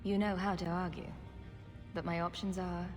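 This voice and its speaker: A woman's voice: on the low side, flat delivery, moderate pace, over music, natural voice. An actress playing a sarcastic, witty female rogue in a video game, with a wry, flippant delivery. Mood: questioning. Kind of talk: video game cutscene acting.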